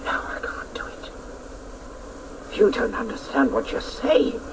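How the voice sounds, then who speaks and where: An actor playing a stern, sorrowful guardian of a mountain crag, with slow, dramatic delivery in a radio play. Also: male voice, whispered, close to an everyday voice. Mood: troubled, grave. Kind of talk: theatrical radio play acting. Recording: noisy old radio play recording.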